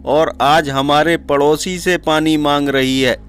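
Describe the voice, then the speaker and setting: A man's voice: on the high side, steady tone, slow pace, fairly bright tone. A man narrating a scripted horror story for a cartoon. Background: background music.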